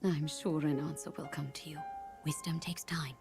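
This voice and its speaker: An actress playing a gentle, kindly queen in a game cutscene, speaking softly and coaxingly, often near a whisper. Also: deep voice, fairly even intonation, moderate pace, gravelly, over music.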